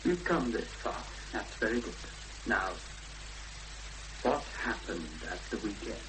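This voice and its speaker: An actor playing a measured, deliberate questioner who gently draws out a troubled woman in a 1970s radio drama. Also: on the low side, lively intonation. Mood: calmly probing. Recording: noisy 1970s radio broadcast recording.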